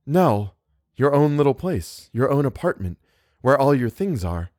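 Man voicing a firm young woman, slightly raised pitch. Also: sing-song, a little slow, a little mellow, dry recording, clean studio sound. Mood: reassuring, firm. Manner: patient, firm. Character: proud, cool young woman, firm and patient. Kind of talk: audiobook character voice.

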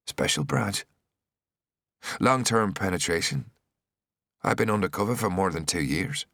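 A man's voice: lowish voice, expressive, a little slow, creaky, bright, forceful tone, no echo, clean studio sound, heavily acted. A man voicing a rattled undercover policeman held captive in an audiobook thriller, anxious, defensive and urgent. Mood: defensive, pleading.

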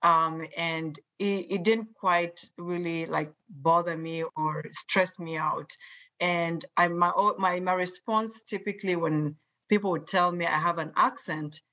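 A Kenyan woman with a fairly low voice speaks as a panel guest. She talks in a measured, deliberate way and sounds out each word with care. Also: fairly even intonation, pushed and bright. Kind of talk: panel discussion.

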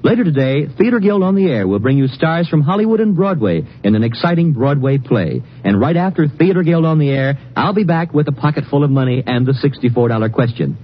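Male quiz-host type, old-time radio promo, fairly high swooping voice, muffled vintage broadcast sound. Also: animated, a little fast, few pauses. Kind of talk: 1950s network radio promo.

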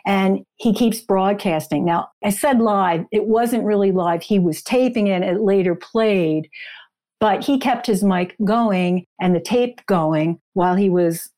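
A woman with a mid-pitched voice talks at a slow pace. She sounds like an author being interviewed, explaining conversationally in deliberate, short phrases. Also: dark tone.

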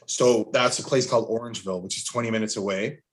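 A man's voice: lowish voice, quick pace, husky. A man chatting casually, telling personal anecdotes in a sentimental way. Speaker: podcast co-host. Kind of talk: casual chat.

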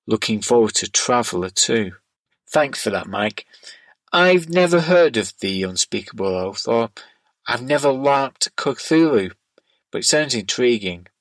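A man's voice: mid-range voice, lively intonation, a little slow, fairly bright tone, no echo, low-quality recording. A middle-aged man reading scripted narration and listener letters aloud as a podcast host.

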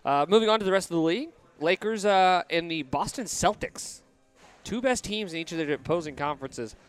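A man in his twenties with a high-pitched voice, hosting a casual sports talk podcast. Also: lively intonation, a little fast, clean tone, soft background music. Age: 20s. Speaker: basketball podcast host.

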